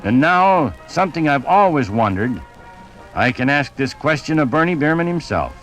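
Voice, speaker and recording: Animated, higher-voiced man narrating sports history. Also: normal speed, soft background music, rough recording. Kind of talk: scripted radio narration, 1950s broadcast.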